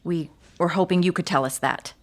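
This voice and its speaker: Police detective played by an actress, lowish female voice, tactful and procedural, audio drama interrogation. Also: brisk, close to an everyday voice. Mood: calm, probing. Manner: patient, polite, procedural. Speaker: detective conducting a recorded interview.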